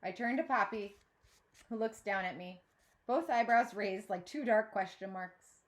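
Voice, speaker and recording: A woman's voice: mid-range voice, a little slow. A woman reading a children's novel aloud expressively, like a teacher.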